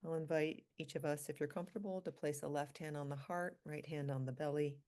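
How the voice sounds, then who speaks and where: A woman leading a guided meditation for a group, speaking calmly and slowly in short, spaced phrases. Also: low-pitched. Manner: calm, slow, pausing between short phrases. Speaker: meditation leader, physician.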